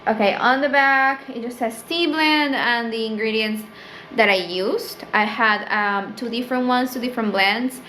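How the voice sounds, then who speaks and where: A woman's voice: fairly high pitch, moderate pace, sharp tone, slight background noise. A young woman from Peru with a Peruvian Spanish accent, Spanish her first language, chatting casually to camera about her small business and filling her talk with "like" and "um". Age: young adult. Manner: chatty, fills with "like" and "um", rises for quoted thoughts. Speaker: small business owner vlogger. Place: small indoor room with tea stock shelf.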